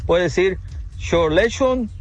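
Joking high-voiced man caller. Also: expressive, takes it slowly, faint music behind. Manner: joking, laughing while speaking.

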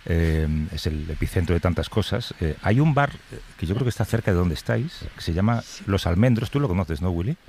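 A man hosts a radio panel conversation. He talks hesitantly and fills his pauses with "eh". A faint hum of room ambience sits behind him. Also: sits low, animated, darkish tone. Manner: hesitant, many fillers. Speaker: host.

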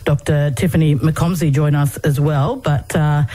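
A woman's voice: low voice, steady tone, a little slow, warm tone. A woman hosting a radio interview, asking questions in a friendly, probing way with some fillers. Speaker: radio host.

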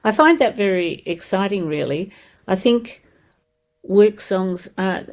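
A woman hosting a radio music show, warmly enthusiastic as she talks with her guests. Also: medium pitch, animated, slow. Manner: enthusiastic, encouraging. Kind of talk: radio interview.

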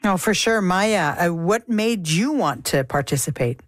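A woman's voice: on the low side, lively intonation, a little slow, dry recording, clean studio sound. A woman hosting a radio interview, asking curious, short follow-up questions.

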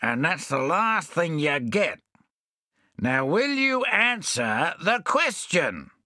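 A man acts out a quick-tempered storybook adventurer. He blusters and roars with indignation, in a forceful voice that swings widely in pitch. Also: sing-song, no echo, clean studio sound, exaggerated voice. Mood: gruff, demanding. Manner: roaring, indignant, colourfully abusive.

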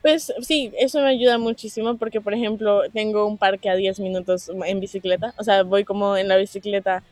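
A teenage woman from Colombia talks easily about her sport, loose and chatty with frequent fillers. Her voice sits at a middle pitch and the sound is close and dry. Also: a little fast, few pauses, dry recording, soft background music. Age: teens. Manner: relaxed, chatty, many fillers like "sabes". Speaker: guest skateboarder. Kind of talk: casual interview chat.

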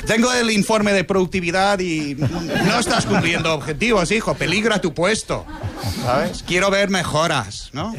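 A man's voice: sits high, expressive, normal speed, noisy background. A male stand-up comedian, not a native Spanish speaker, delivering a routine and acting out dialogue.

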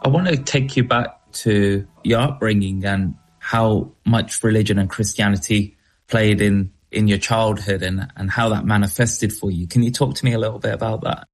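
Male interviewer. Gently probing, conversational questions in a fairly low voice. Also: fairly even intonation, normal speed, soft background music.

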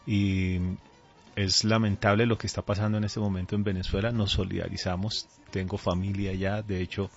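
A middle-aged man with a Colombian accent, speaking as a pastor in a radio interview in a deliberate, earnest way. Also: deep voice, little pitch movement, soft background music. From Colombia, raised there from age two. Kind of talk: radio interview, conversational testimony. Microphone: studio microphone. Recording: dull, band-limited radio audio.

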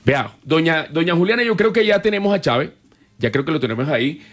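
A man's voice: on the high side, expressive, fast talker, fairly bright tone. A man with a Barranquilla accent co-hosting a radio show, informal and teasing. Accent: Colombian Spanish, Barranquilla. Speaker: radio host. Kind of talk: casual radio talk show banter.

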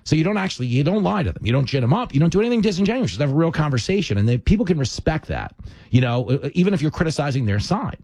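A man's voice: a little high, very fast, no echo. A man hosting a podcast, chatting casually and animatedly about politics. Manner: animated, laughing, acts out quoted voices. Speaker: podcast host.

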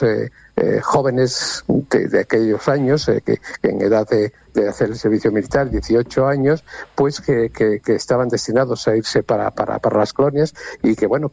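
A man's voice: mid-range voice, gravelly, a little mellow, husky, no room sound. An older man from Spain answering radio interview questions for a retirees' association, hesitant, full of fillers and repeated words, on muffled lo-fi audio.

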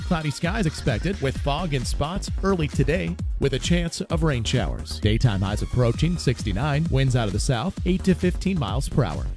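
A man reading a scripted weather forecast as a meteorologist, in a brisk, formal delivery. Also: medium pitch.

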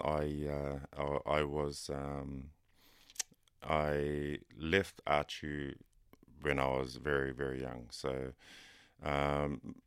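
A man, raised there after a Cook Islands birth, answering a radio interview as a police officer in a halting, soft-spoken way with many pauses. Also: extremely low pitch, smooth, no echo, studio quality. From Cook Islands, raised in New Zealand. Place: radio studio.

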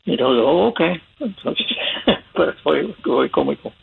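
Gravelly American man on a phone line. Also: on the high side, very animated, measured pace. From United States. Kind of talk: radio call-in advice.

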